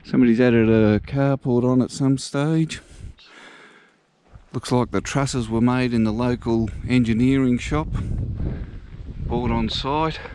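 A man giving a relaxed, casual walking tour outdoors, thinking aloud as he goes. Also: medium pitch, unhurried, slight background noise. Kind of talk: casual walk-and-talk narration. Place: outdoors walking through a small country town.

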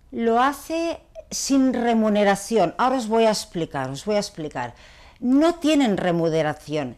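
Low-voiced woman explaining emphatically. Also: a little mellow. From Barcelona, Spain. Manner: didactic, emphatic, rhetorical questions. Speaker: gynecologist, video blogger. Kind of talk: explanatory talk to camera. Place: small clinic consulting room.

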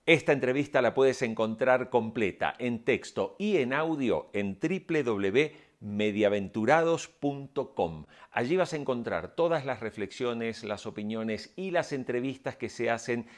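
A man's voice: medium pitch, lively intonation, measured pace, few pauses. A middle-aged man presenting a podcast and interviewing guests.

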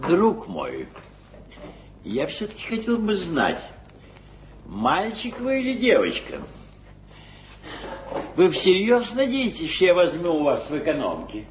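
A fussy, flustered gentleman whose voice swings wildly up and down. He grumbles and fusses, heard on a dull, muffled radio recording. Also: sits high, wildly swooping pitch, a little slow, pauses often, slight background noise, full character voice. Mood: puzzled. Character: Peter Hope, flustered old London editor. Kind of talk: 1980s studio radio play acting.

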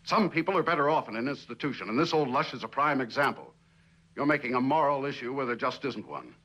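A middle-aged man playing a stern, cynical company boss in a 1960s television drama, lecturing in a measured, condescending way. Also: medium pitch, lively intonation, sharp tone, dull audio. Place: indoor living room set.

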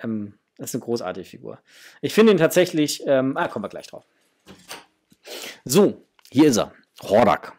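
Guy in his 40s doing an unboxing vlog, bit raspy, chatty and keen, talking to viewers like mates. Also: mid-range voice, a little mellow. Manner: enthusiastic, addresses viewers as friends. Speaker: toy unboxing vlogger. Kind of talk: casual chat, enthusiastic product unboxing. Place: indoors, small room with framed posters.

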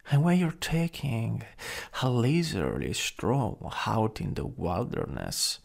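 Man playing a creaky Italian-accented jealous supervillain. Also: on the low side, heavily acted. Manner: teasing, drawling, part-whispered. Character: jealous, taunting, possessive male supervillain. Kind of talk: ASMR roleplay.

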